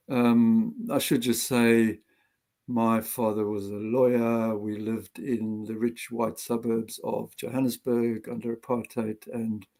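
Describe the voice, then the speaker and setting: An older man with a South African accent, telling his life story as an interview guest in a measured, reflective way with frequent pauses. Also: on the low side, warm tone. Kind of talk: interview, personal history.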